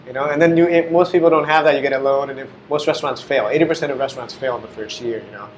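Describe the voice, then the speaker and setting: A man's voice: mid-range voice, very fast, a crowd in the background, rough recording. A young adult man, a guest talking casually in an interview, rambling and full of fillers. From United States. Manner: rambling, heavy on "like" and "you know". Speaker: guest, business owner.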